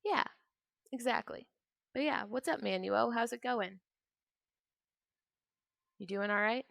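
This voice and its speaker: A woman's voice: on the high side, slow, vocal fry, a bit bright, no room sound, studio quality. A woman in her twenties chatting casually and ramblingly as she streams a video game, sometimes trailing off into mutters to herself. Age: 20s. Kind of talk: casual chat while playing a video game.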